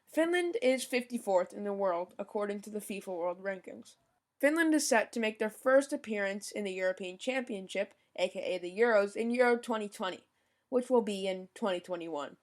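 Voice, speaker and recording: Young podcast host reading a script. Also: medium pitch. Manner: reads from a script, stumbles over foreign names and apologizes. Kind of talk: scripted informational podcast narration.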